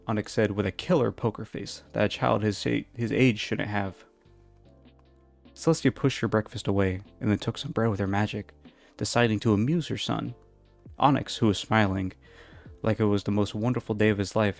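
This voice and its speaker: A man's voice: fairly low pitch, fairly even intonation, moderate pace, vocal fry, faint music behind. A man reading a story aloud in an informal, amateur storytelling style. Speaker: fan fiction reader. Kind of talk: storybook narration, casual intro.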